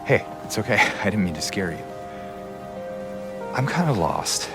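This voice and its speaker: A man playing a weary, grieving middle-aged widower searching for his lost wife in a video game, speaking in a hushed, halting, brooding way. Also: fairly low pitch, sing-song. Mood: hesitant, resolute. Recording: loud music over the voice.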